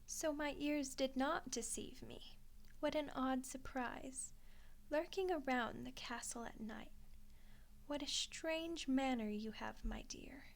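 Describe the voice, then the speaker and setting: A woman's voice: sits high, slow, gravelly, full character voice. A woman voicing a gracious, teasing centuries-old vampire queen in an ASMR roleplay, with courtly, coaxing phrasing. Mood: amused, inviting. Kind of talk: ASMR roleplay monologue addressed to the listener.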